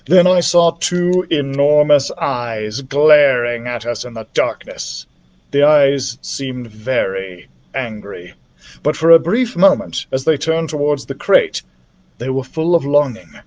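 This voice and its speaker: A man reading a children's adventure novel aloud as a library storyteller, in an expressive narrative style. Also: mid-range voice, takes it slowly, lo-fi sound.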